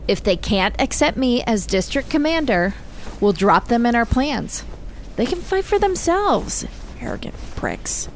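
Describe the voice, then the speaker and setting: A woman's voice: a little high, very animated, a little slow, slight background noise. A woman playing a tough, guarded commander of a survivor militia in a radio drama, speaking tersely and with command. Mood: guarded, firm. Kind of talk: post-apocalyptic radio drama acting.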